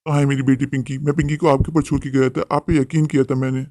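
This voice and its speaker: A quick-talking, worried father, acted by a man in a cartoon moral story. His voice is mellow and questioning, that of a devoted dad. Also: medium pitch, flat delivery, no echo, clean studio sound, lightly acted. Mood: alarmed, accusing. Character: anxious, devoted father searching for his daughter.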